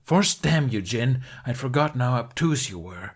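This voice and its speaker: A man's voice: medium pitch, animated, moderate pace, breathy edge, voice pushed up. A man voicing a furious, grieving middle-aged knight who speaks in a heated, accusing, raised voice in an audiobook reading.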